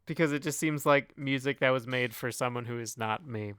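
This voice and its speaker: A man's voice: medium pitch, fairly quick, fairly bright tone, slight background noise. A man co-hosting a casual music review podcast, speaking in a hesitant, loosely phrased way as he gives opinions. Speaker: podcast co-host.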